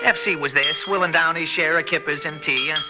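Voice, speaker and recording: A man's voice: fairly high pitch, a little fast, music in the background, natural voice. An actor playing a cheeky, chatty young man in an old radio drama, talking in a wheedling, pleading way. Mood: storytelling. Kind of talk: 1960s radio drama acting. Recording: dull lo-fi old radio recording with hiss.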